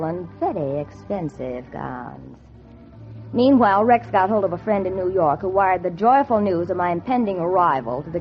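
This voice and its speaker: A woman's voice: mid-range voice, natural voice. An actress playing a wisecracking young American dance-hall woman posing as an heiress, in a slangy, wry 1940s radio style. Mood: brisk, confiding. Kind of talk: 1940s radio acting, noir first-person narration. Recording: muffled 1940s radio recording with loud music.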